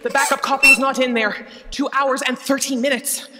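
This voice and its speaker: Helpful female shapeshifting sidekick, actress for stage sci-fi: raised pitch, announcing manner, heavy background noise. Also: fairly even intonation, fairly quick, close to an everyday voice. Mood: dutiful. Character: obliging female shapeshifting space assistant. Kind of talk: staged audio drama comedy. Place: on stage in a theatre. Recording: noisy live stage recording, hall reverb.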